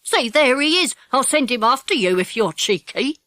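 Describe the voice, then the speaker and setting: A woman's voice: high-pitched, animated, moderate pace, pushed and bright. A woman voicing a cross, disagreeable old woman caretaker who snaps and scolds, in a children's audiobook. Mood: angry. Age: older. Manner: snappish, scolding. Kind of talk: children's audiobook character acting.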